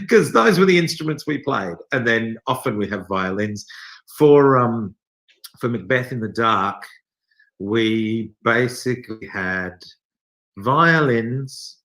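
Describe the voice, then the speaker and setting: A man's voice: mid-range voice, bright, forceful tone. An Australian man explaining things in a casual interview, thinking aloud with some wry humour. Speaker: theatre company director being interviewed.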